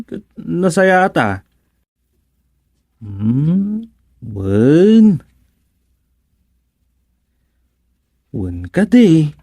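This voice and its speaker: A man's voice: fairly high pitch, dark tone, dry recording. An actor playing an easygoing village man who mutters and muses to himself, with an Ilocano accent, in a radio comedy-drama. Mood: chatty, pleased. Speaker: radio serial character.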